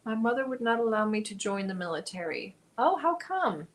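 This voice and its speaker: A woman's voice: a little high, big pitch swings, fairly quick. Woman, forties, casual crochet stream host, chatty, mutters while stitching. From Germany. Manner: chatty, reads viewer comments aloud, mutters while counting stitches.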